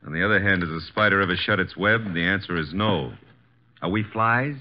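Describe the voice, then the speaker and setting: Man as deep-voiced wisecracking American radio sidekick. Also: brisk, lightly acted. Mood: wry. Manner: flippant, sardonic, slangy. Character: wisecracking, breezy male detective's assistant. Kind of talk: 1950s American radio drama acting. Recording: dull, hissy vintage radio recording.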